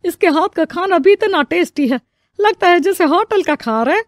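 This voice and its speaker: A nosy, gushing auntie acted by a woman in a cartoon family story. She is loud and effusive, pitched shrilly high, and recorded dry. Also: animated, moderate pace, no room sound.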